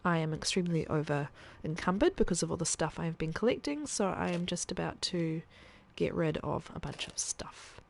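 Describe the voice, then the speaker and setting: Woman streamer, lowish gravelly voice, chatty thinking-aloud commentary. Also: moderate pace, few pauses. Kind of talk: casual gameplay commentary.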